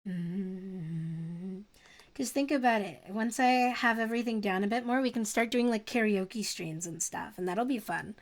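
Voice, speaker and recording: A woman's voice: medium pitch, measured pace. A young woman streamer chatting casually with her viewers and giggling often. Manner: giggly, chatty, reads chat aloud.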